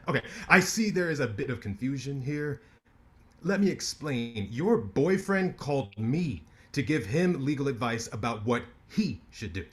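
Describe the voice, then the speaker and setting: An actor playing a brash, ambitious lawyer who talks with cocky sarcasm in a staged play reading. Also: male voice, medium pitch, lively intonation, unhurried, lightly acted.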